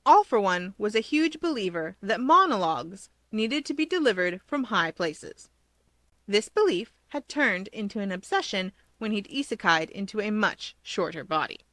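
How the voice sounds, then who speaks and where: A woman's voice: medium pitch, lively intonation, normal speed, fairly bright tone, dry recording. Woman narrating fiction, very dry sound. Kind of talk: scripted story narration.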